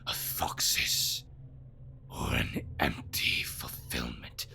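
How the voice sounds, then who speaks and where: A man playing an ancient, sinister, scornful creature in a fantasy audio drama, with a whispery growling rasp and slow, theatrical formal speech. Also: very deep voice, flat delivery, sharp tone, heavily acted. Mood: brooding.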